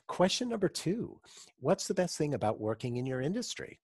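A man's voice: on the high side, very animated, normal speed, darkish tone, husky, dry recording, studio quality. A man hosting a business podcast, reading his questions in an upbeat, announcing way and lifting his voice on each question number. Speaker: podcast host. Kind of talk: scripted podcast interviewing.

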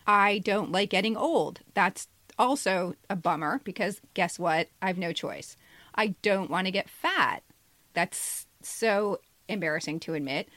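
A woman's voice: medium pitch, animated, a little slow, fairly bright tone, dry recording. A woman in her fifties, a podcast co-host and writer, reflecting casually and candidly with a self-deprecating manner. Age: 50s.

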